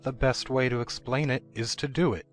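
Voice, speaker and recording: A man's voice: mid-range voice, steady tone, normal speed, faint music behind, natural voice. A man playing a solemn, self-important dodo with a ponderous, formal manner in a dramatized storybook. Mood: explaining. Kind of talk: dramatized storybook audiobook acting.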